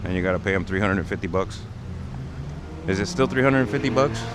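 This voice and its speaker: A man's voice: deep voice, quick pace. Man livestreaming casually over street noise. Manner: explanatory, conversational. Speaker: livestream host. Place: outdoors on a city street by a bike lane. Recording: outdoor street noise, passing vehicles. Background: traffic and urban ambience.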